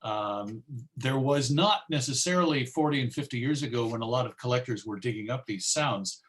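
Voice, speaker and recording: A man presenting an informal online talk and answering questions in a hesitant, musing way, often pausing mid-phrase. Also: mid-range voice, fairly bright tone. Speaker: presenter and old-time radio collector.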